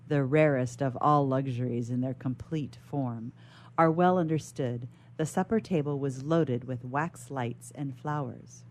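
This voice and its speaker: A woman's voice: sits low, a little mellow, slight background noise. A woman reading a classic novel aloud as a guest reader on a radio show.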